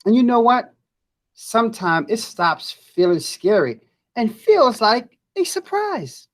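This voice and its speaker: A woman voicing a gentle, reassuring father in a children's storybook, speaking patiently and deliberately. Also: fairly high pitch, huge pitch swings, pitched above the performer's own voice, exaggerated voice.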